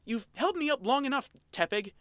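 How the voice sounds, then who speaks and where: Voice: sits high, lively intonation, brisk, fairly bright tone, dry recording, voice pushed up. A reader voicing a snarling boyish otter creature. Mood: stiff. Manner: snarling, indignant, formal.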